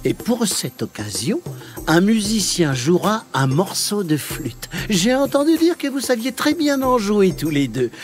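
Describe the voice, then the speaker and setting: Casting: pompous childish sultan, high voice, unhurried swooping delivery, children's cartoon. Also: male voice, big pitch swings, faint music behind. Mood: stately. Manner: theatrical, exclamatory. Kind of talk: French children's cartoon voice acting.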